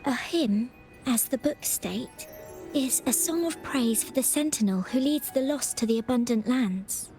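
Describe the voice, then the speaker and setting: A woman's voice: a little high, measured pace. An actress playing a timid, earnest young female choir singer in a game, speaking in a hesitant, shy way. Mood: shy, troubled. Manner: hesitant, shy, soft-hearted. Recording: music behind the voice.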